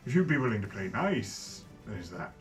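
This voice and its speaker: A man's voice: on the low side, very animated, moderate pace, soft background music, rough recording. A man who plays a tabletop roleplaying game, speaking casually and jokingly as he relays his character's words.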